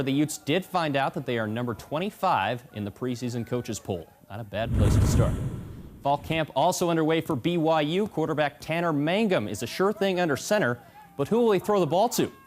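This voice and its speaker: A young American man anchors a sportscast in a medium-pitched voice. He reads scripted highlights with punchy, polished delivery. There is faint noise in the background. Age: young adult.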